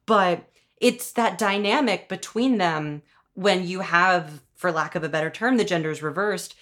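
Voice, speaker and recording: Low-voiced woman in lively casual chat. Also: unhurried. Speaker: podcast host and audio drama showrunner.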